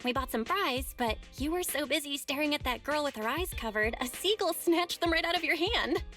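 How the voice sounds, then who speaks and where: A woman playing a chatty, teasing young sister in a video game, playful and lively in conversation. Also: high-pitched, fairly quick, soft background music. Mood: perky, teasing.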